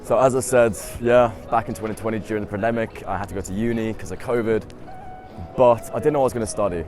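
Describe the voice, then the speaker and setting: Chatty young man with a low voice. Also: steady tone, quick pace, darkish tone, soft background music. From United Kingdom. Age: 20s. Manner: upbeat, chatty, lots of "I mean" and "um". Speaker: students' union officer. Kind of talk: casual interview answers.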